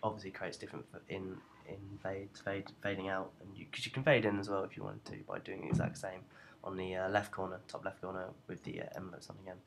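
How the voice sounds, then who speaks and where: A young man with a low-pitched, noticeably creaky voice talks through a software tutorial informally. He explains haltingly, feeling his way through each point, over faint background noise. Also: flat delivery. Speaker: student tutorial presenter.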